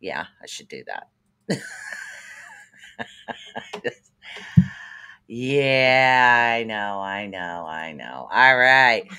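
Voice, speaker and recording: A man's voice: fairly low pitch, sing-song, extremely slow, long pauses, pushed and bright, smooth. A man with an East Coast American accent, hosting a casual livestream where he chats and gives psychic readings in a rambling way, with many fillers. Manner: chatty, rambling, with frequent fillers and laughs. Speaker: psychic reader and livestream host. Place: at home.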